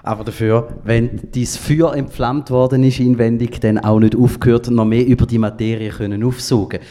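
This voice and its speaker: A man with a Swiss German accent leads a café talk show, speaking in a casual, musing way. His voice sits in a middle pitch and has a dark, mellow tone. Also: steady tone, moderate pace. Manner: musing, loose conversational phrasing.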